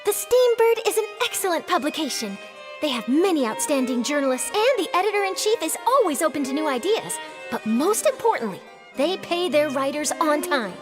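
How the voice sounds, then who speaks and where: Animated, enthusiastic young newspaper woman. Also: high voice, fairly quick, over music. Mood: eager, pitching. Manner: emphatic, promotional. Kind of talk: video game dialogue.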